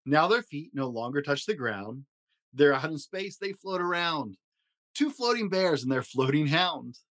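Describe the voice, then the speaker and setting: A man's voice: a little high, expressive, a little slow, fairly bright tone. Man reading a children's storybook aloud. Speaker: podcast host and story reader.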